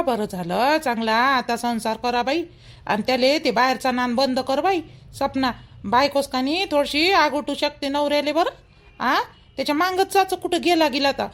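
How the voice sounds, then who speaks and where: A woman's voice: high voice, creaky. A woman voicing a chatty, meddling, protective elder in a village cartoon, with a colloquial Vidarbha Marathi accent. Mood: advising. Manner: colloquial, gossipy, advising.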